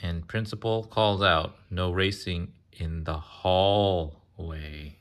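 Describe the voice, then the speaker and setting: A man reading a children's picture book aloud in a casual way, pausing to chat, ask questions and explain. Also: low-pitched, fairly even intonation, fairly bright tone. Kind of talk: casual read-aloud with chatty asides.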